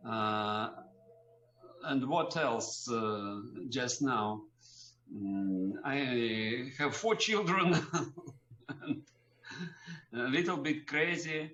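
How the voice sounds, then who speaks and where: A man's voice: mid-range voice, fairly bright tone, highs cut off. A man who is a doctor, introducing himself very hesitantly, searching for words with long fillers. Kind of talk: casual self-introduction. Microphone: video call.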